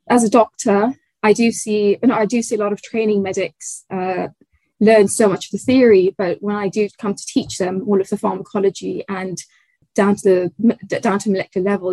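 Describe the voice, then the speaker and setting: A young woman from Tanzania, a research fellow, answering interview questions in a thoughtful way, often pausing with fillers and restarting sentences. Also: medium pitch, darkish tone, dry recording, low-quality recording. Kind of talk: conversational interview.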